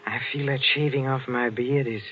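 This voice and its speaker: An actor playing a meek, henpecked middle-aged botany professor, hesitant and mannered, in old-time radio drama style. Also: male voice, medium pitch, fairly even intonation, moderate pace, close to an everyday voice. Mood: shy, flustered. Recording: dull vintage radio drama recording.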